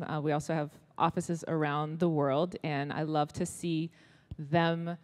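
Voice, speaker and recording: A woman's voice: low-pitched, a little mellow. A woman in her forties from Israel who speaks with an American accent, talking candidly on stage with many fillers. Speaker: ministry leader, guest. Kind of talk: onstage interview. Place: large auditorium stage. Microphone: handheld mic.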